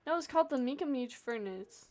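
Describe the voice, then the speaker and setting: A woman livestreaming a video game with casual commentary, playful and expressive as she talks and reads dialogue aloud. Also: high-pitched, quick pace. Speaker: game streamer.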